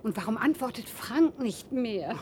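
High-voiced, fretful, frightened mother. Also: expressive, measured pace, mellow, slight background noise. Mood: worried. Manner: anxious, exclamatory. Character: frightened, fretful mother stranded with her husband. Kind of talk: radio drama acting.

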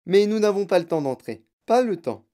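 A storybook narrator voicing an excitable, boastful eccentric man who owns a chocolate factory, exclamatory, emphatic and impatient. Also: medium pitch, normal speed, voice pushed up, lightly acted. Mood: impatient, hurried.

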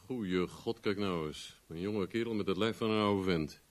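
A man's voice: deep voice, normal speed, lightly acted. A man acting a blunt, needling gym owner and fixer in a Dutch radio drama, mocking and persuasive. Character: blunt, needling male gym owner and car dealer friend.

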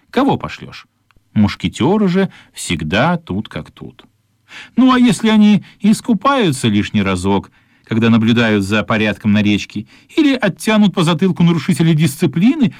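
A man narrating a children's radio play as a wry storyteller with a husky voice. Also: mid-range voice, darkish tone, no room sound, studio quality.

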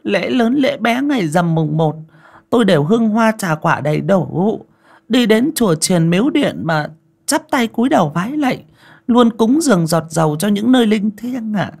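A man's voice: high-pitched, very animated, moderate pace, vocal fry, darkish tone, pitched above the performer's own voice, exaggerated voice. A man voicing a talkative, devout older woman who fawns over a guest. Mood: eager. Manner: fawning, talkative. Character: chatty devout older woman, eager hostess.

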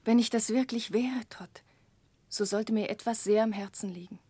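An actress playing a gentle, earnest, caring young woman with a soft voice in a 1960s radio drama. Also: on the high side, moderate pace, dry recording. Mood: gentle, concerned. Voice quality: soft, breathy.

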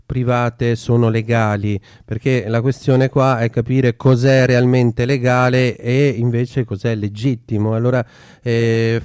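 A man's voice: mid-range voice, a little mellow, clean tone, no echo, clean studio sound. A man hosting an informal radio discussion, hesitant and searching, often repeating words as he builds his point. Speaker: radio show host.

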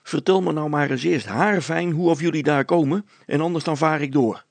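Man playing a loud, gruff, suspicious barge skipper. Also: on the high side, normal speed, no echo, voice pushed up. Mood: distrustful, grumbling. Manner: grumbling, distrustful, calling out loudly.

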